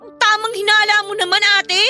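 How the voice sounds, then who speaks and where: A very high, sharp young female voice with a Filipino accent , played by an actress. She is a sulky, stubborn student answering back in radio-drama style. Faint music sits underneath. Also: level tone, a little fast, exaggerated voice. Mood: exasperated. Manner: guarded, stubborn talking back.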